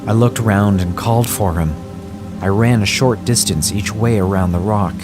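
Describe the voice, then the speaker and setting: A man reading a scripted horror story in a measured, somber first-person way. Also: on the low side, over music. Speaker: story narrator.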